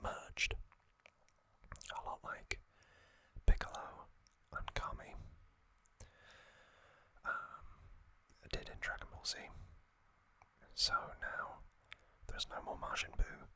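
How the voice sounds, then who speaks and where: Male ASMR host, fully whispered, very slow and gentle, with long pauses. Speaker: host of an ASMR trivia channel.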